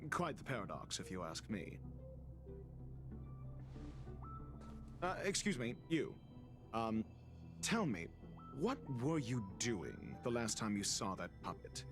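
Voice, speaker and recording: An actor playing an arrogant, condescending scholar in a video game, with pompous, florid delivery. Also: male voice, lowish voice, very animated, a little slow, music in the background. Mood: dismissive, impatient. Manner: pompous, drawling, florid wording. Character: arrogant, theatrical male scholar, condescending and sardonic.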